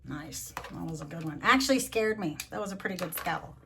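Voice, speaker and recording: A middle-aged or older woman doing a storybook read-along, chatting to child listeners and gently asking them questions. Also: fairly low pitch, expressive, a little fast, vocal fry, noisy background. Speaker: grandmother reading to children.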